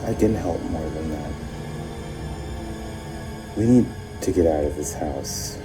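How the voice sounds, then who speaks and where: An actor playing a nervous, forgetful man who speaks haltingly in a naturalistic audio drama. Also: very deep voice, over loud music, low-quality recording. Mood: anxious, earnest. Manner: hesitant, halting. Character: nervous forgetful reclusive man in mysterious house. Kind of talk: naturalistic fiction podcast acting.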